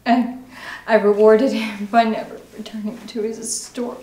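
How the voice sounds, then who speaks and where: A woman performing a dramatic monologue as a guilt-ridden recovering alcoholic mother, halting, sombre and confessional. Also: fairly high pitch, little pitch movement, mellow, natural voice. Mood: anguished, remorseful. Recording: roomy echo, faint noise.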